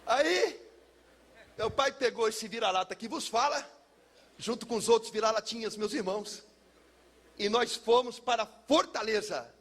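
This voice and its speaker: A Brazilian man speaking as a pastor, telling a personal testimony in an emphatic, theatrical way with deliberate repetition. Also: very high voice, fairly bright tone. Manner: emphatic, theatrical, uses pauses and repetition for effect. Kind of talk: personal testimony, dramatic storytelling to listeners.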